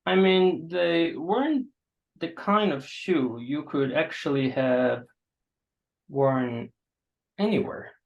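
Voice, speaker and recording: Man acting an awkward, gravelly, slow-talking host. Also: on the high side, muffled recording. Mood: sheepish. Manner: halting, awkward, understated. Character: awkward, apologetic man hosting a stranger. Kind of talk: staged play reading, naturalistic stage acting.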